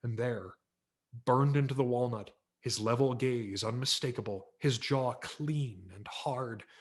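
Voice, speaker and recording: A man's voice: mid-range voice, a little slow. A male audiobook narrator with a mid-range voice reads a story aloud. His delivery is theatrical and wry, leaning hard on key words. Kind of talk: dramatic scripted story narration.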